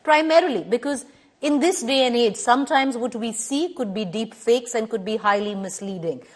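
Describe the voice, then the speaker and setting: A woman with an Indian English accent moderating a televised panel debate, measured and careful, often hedging with "you know". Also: mid-range voice. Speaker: news anchor.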